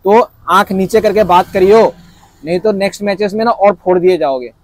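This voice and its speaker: Young man, from India, high voice, animated, repetitive, dry close lapel sound. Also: normal speed, no room sound. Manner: animated, repetitive, gestures with hands. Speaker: cricket reaction vlogger. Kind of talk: excited casual commentary to camera. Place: indoors in front of a backdrop screen. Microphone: lapel mic.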